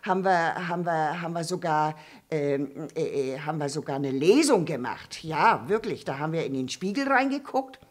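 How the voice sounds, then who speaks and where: A woman's voice: deep voice, expressive, moderate pace, pitched below the performer's own voice. A woman voicing a gossipy old female puppet who rambles, stammers and restarts her sentences. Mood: rambling. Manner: stammering, full of fillers and restarts. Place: dark theatre stage.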